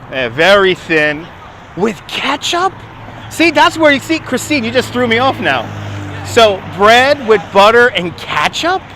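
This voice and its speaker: A man's voice: sits high, sharp tone, a little hiss or hum. A man with a New York accent, chatting casually with viewers during a live walking stream outdoors on a waterfront, recorded on a phone on a gimbal. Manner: chatty, answering viewers, quick laughs. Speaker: livestream host. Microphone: phone on a handheld gimbal.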